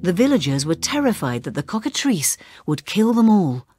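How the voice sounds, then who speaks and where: A woman narrating a children's read-along story in an expressive way. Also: on the low side, normal speed, husky, soft background music.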